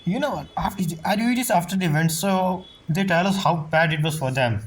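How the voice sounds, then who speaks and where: A woman's voice: lowish voice, a little fast. A woman giving casual, informal gameplay commentary as she plays, in short musing reactions. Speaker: game streamer, player. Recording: game music behind the voice. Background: video game music.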